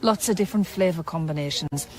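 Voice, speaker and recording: A woman's voice: deep voice, quick pace, soft background music, natural voice. An actress playing a down-to-earth, wry woman journalist in a radio drama, with a Northern English accent. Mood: brisk, friendly. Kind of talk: radio drama dialogue and first-person narration.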